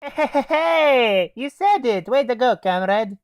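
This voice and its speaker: Teen guy putting on a russian soldier obsessed with bombs, slow and swoopy, dry close sound. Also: very high voice, lively intonation, no room sound, pitched above the performer's own voice, full character voice. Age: teens. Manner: teasing, calls listener comrade. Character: Russian male soldier obsessed with explosive charges.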